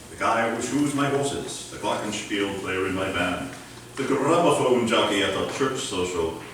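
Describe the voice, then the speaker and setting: A man's voice: fairly low pitch, animated, moderate pace, a bit bright, slight background noise. A man playing a boastful, wealthy uncle and land trader in an old-time radio comedy, bombastic and rattling off lists, in a live community room. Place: library community room before an audience. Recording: roomy live hall, far from mic.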